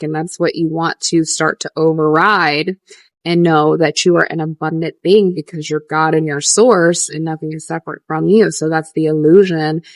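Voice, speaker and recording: A young adult woman coaching viewers on a livestream, earnest and repetitive, answering questions with lively rises. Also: sits low, no echo. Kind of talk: casual livestream teaching, reading viewer questions.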